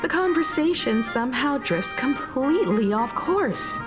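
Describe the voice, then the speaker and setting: A woman's voice: high-pitched, very animated, measured pace, over music. A woman voicing a self-assured, exasperated adult daughter and navigator in a video game, speaking firmly.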